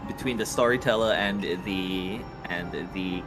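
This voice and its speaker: A man's voice: sits low, a little slow, over music. A man co-presenting on a casual livestream, speaking hesitantly and often repeating his phrases as he goes. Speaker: co-presenter on a game project stream.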